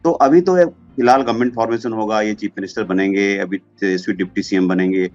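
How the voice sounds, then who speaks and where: A man's voice: lowish voice, steady tone, a little fast, darkish tone. A man giving informal political analysis as a commentator, mixing English terms into his speech. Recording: dull lo-fi audio with music behind. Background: background music.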